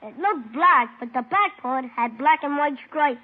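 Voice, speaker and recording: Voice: high-pitched, expressive, measured pace, slight background noise. A performer playing an earnest six-year-old boy witness in a 1950s radio drama, talking in an artless, childlike way. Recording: tinny old-time radio recording.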